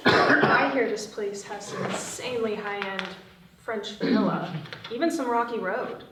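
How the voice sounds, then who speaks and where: Casting: woman acting cocky young female thief, mid-pitched, table-read delivery, roomy and off-mic. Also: measured pace, very noisy, close to an everyday voice. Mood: conspiratorial. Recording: echoey room, distant microphone.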